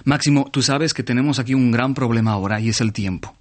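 A man's voice: medium pitch, quick pace. A man hosting a radio interview, prompting his guest with short questions and keeping track of time. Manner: brief backchannel, light and friendly prompting. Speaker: radio show host.

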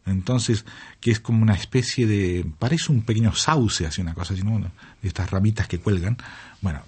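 An older man talks at length in a radio studio. His voice sits low and a little husky. He muses aloud, trailing off into fillers and little tag questions as he goes. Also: brisk, darkish tone. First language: Italian is his second mother tongue. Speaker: retiring radio colleague, interview guest. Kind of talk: relaxed conversational interview.

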